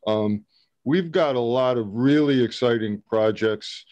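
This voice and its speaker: An older man, a mayor, giving measured testimony over a video call from his office, with frequent ums. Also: medium pitch, darkish tone, no echo. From Connecticut. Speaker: mayor testifying at a public hearing. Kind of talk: prepared testimony. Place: office with shelves and a flag.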